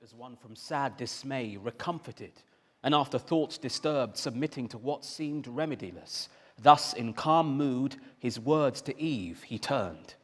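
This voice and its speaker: A man narrating epic verse in a calm, measured storytelling manner. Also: medium pitch. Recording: long hall reverb.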